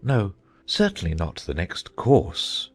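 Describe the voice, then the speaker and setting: A man playing a gentle, dotty old knight, earnest and rambling, in a dramatized audiobook. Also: low voice, wildly swooping pitch, slow pace, breathy edge, faint music behind, heavily acted. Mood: slow, downcast. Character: gentle, dotty old male knight, solemn muddled inventor.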